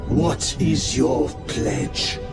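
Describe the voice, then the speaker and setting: An actor playing a stern, solemn elder of a space marine order in a sci-fi game, speaking in a measured, ceremonial way. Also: male voice. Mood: grave, stern. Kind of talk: cinematic sci-fi game acting. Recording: echoing hall, distant, under loud music.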